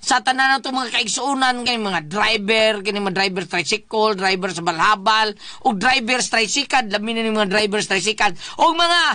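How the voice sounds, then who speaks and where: A woman's voice: medium pitch, moderate pace, pushed and bright, no echo. A woman with a Cebuano accent hosting radio, chatting through greetings and product plugs. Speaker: radio host.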